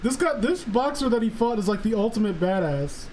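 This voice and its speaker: A man chatting casually as a stream co-host, excitable and sweary, stumbling into restarts as he comments. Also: high voice, brisk, low-quality recording. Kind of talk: casual banter and riffing on a story. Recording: faint background noise.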